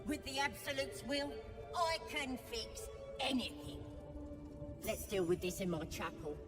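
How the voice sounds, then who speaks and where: A woman's voice: fairly high pitch, expressive, unhurried. An actress playing a fanatical, sly female goblin priestess in a video game, taunting and fervent. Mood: conspiratorial, coaxing. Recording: long reverberant hall, music behind.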